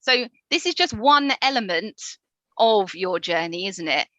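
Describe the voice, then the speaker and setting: A woman's voice: medium pitch, lively intonation, a little slow, fairly bright tone, no room sound. A woman hosting a panel discussion in a conversational moderating style.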